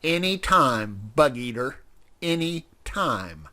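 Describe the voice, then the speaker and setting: A man's voice: mid-range voice, very animated, slow, bright, forceful tone, pitched above the performer's own voice, full character voice. A man voicing a grumpy, sarcastic old male owl with a grumbling manner. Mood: dry challenge. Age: older.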